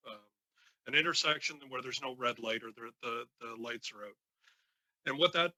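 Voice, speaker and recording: Bright, halting man testifying. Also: medium pitch, no room sound, low-quality recording. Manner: halting, many false starts. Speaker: union president, committee witness. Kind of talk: formal testimony answering questions.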